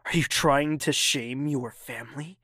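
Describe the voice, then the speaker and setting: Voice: medium pitch, steady tone, a little slow, fairly bright tone, breathy edge, dry recording, clean studio sound. An actor playing a haughty, impatient young royal prince in an audio drama, clipped and condescending. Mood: imperious, irritated.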